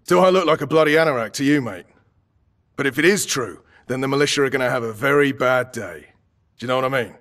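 An actor playing a blunt, cynical military grunt in a video game. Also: male voice, medium pitch, fast, fairly bright tone, distant mic, natural voice. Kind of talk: video game soldier chatter.

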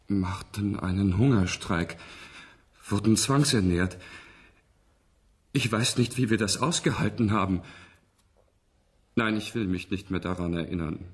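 A man in a German radio play playing a young test subject who relives memories in a trance, halting and wondering. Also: deep voice, breathy edge, heavily acted. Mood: distressed. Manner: halting, searching, wondering delivery.

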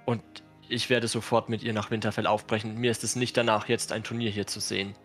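A man's voice: on the low side, level tone, quick pace, a bit bright, soft background music. A man playing a grieving, troubled northern lord in a fantasy role-play, subdued and formal. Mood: grieving, resolute.

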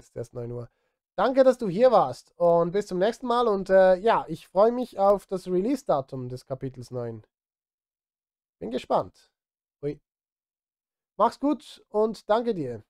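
A man's voice: high voice, a little mellow, dry recording. A man in his thirties chatting casually on a live stream as he works, partly to himself, close on a studio microphone. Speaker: streamer, film music composer. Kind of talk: casual live-stream chat while composing. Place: indoor home studio. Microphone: close studio microphone, headphones on.

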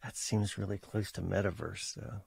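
A man co-hosting a casual podcast, wry and quick with jokes. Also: lowish voice, fairly even intonation, gravelly, darkish tone, husky, dry recording, studio quality. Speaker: podcast co-host. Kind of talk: casual chat.

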